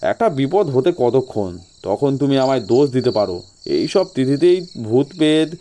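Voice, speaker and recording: A man playing a sly local gentleman in an audio story, giving ominous ghost warnings with feigned reluctance. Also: mid-range voice, sing-song, moderate pace, slight background noise, lightly acted.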